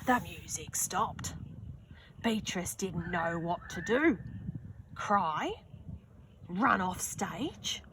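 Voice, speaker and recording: A young woman reading a children's picture book aloud outdoors, slow and expressive, with gasps and little asides. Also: on the low side, noisy background. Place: outdoors on a sunny wooden deck.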